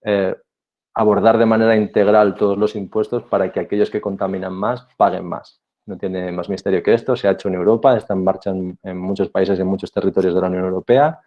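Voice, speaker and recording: A man giving a conference talk as a panel speaker, measured and explanatory, with some hesitations. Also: low-pitched, fairly even intonation, highs cut off.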